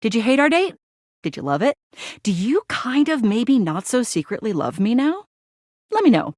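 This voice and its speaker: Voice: medium pitch, big pitch swings, normal speed, darkish tone, no echo, studio quality. A performer voicing an upbeat, chatty date companion in a video game, with a breezy, informal manner. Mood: playful, friendly. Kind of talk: video game character dialogue.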